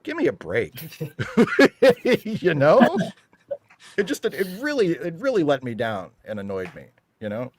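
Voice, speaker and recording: A man's voice: on the high side, huge pitch swings, unhurried, slight background noise. A man chatting casually and giving his opinions emphatically, with exasperated rhetorical asides. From United States. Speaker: panelist on a fan talk show.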